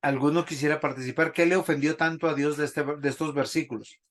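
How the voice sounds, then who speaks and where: A man's voice: fairly high pitch, fairly even intonation, fast. A man teaching a Bible class in a church, preaching and putting questions to the congregation. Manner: didactic, asks the congregation questions.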